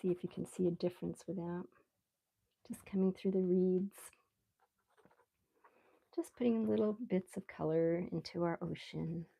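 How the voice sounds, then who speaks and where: A woman with a mellow, gravelly fry in her relaxed voice. She hosts a casual art live stream, thinking aloud in an unhurried, rambling way as she draws. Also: on the low side, poor audio. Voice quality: relaxed, soft-edged voice. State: relaxed, mildly sedated after anesthetic. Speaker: live stream artist host. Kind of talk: casual live stream chat while drawing.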